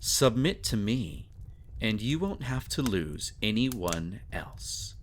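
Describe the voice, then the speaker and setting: A man playing a sadistic, possessive vampire lord in a dramatic roleplay monologue, theatrical and taunting, with silky condescension and drawn-out phrasing. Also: lowish voice, big pitch swings, a little hiss or hum. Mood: coaxing.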